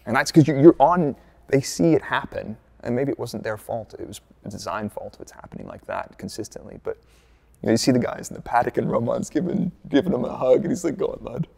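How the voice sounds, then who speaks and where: A man's voice: medium pitch, animated, quick pace, creaky, darkish tone, slightly rough. An American man from Dallas, a former racing engineer turned content creator, answering interview questions in a chatty, laid-back way with joking asides. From United States, Dallas.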